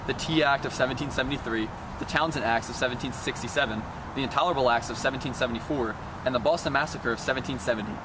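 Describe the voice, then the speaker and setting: A man's voice: medium pitch, fast talker, lightly acted. A man playing an earnest, resolute colonial statesman, giving formally worded, scripted interview answers. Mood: earnest, defiant. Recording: noisy amateur outdoor recording, traffic.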